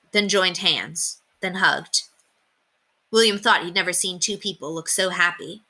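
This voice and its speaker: A woman reading a children's story aloud as an expressive storyteller. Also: fairly low pitch.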